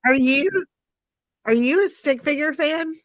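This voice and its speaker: A woman's voice: high voice, a little slow, no echo, clean studio sound. A woman hosting a casual chat about live music, gushing and rambling with lots of filler words, sniffly from crying. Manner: gushing, emotional, rambling with many likes. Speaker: podcast host.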